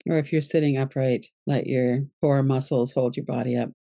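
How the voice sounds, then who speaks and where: A woman teaching yoga nidra, leading a guided relaxation meditation in a calm, gentle, unhurried way. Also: rumbling low, vocal fry, no room sound, clean studio sound.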